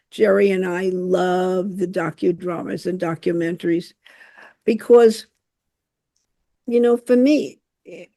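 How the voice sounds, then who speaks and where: An older woman, a dancer, speaking as a guest on a podcast in a warm, effusive, unhurried way. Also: mid-range voice, creaky, dry recording. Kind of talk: conversational interview.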